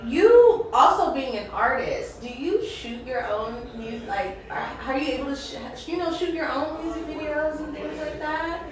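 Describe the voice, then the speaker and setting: A woman's voice: high voice, expressive, moderate pace, hardly any pauses. Distant, echoey woman interviewer, American, casual and chatty, crowd behind her. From Louisiana, United States. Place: echoey indoor mixer event. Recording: roomy echo, distant mic, crowd chatter.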